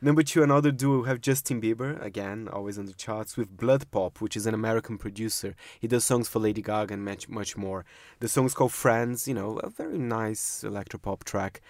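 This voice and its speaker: A man presenting a music chart countdown on a radio panel, chatty and quick to laugh, with lots of fillers. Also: lowish voice, darkish tone, no room sound, clean studio sound. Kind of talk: casual radio panel chat. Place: radio studio.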